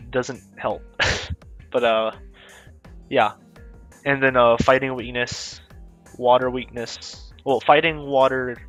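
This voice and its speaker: A man's voice: mid-range voice, fairly bright tone. Sniffly young man with a cold. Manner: rambling, self-mocking, offhand. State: stuffy with a cold. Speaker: YouTuber discussing his game team. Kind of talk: casual commentary. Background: faint music.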